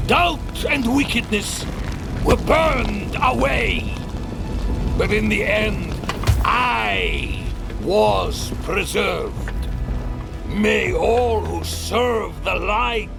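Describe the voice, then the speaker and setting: Gravelly, high-pitched zealot holy knight. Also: male voice, full character voice. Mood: fervent, solemn. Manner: theatrical, declamatory, righteous. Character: zealous male holy knight, vengeful accuser and preacher. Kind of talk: cinematic fantasy game acting. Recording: cinematic music behind.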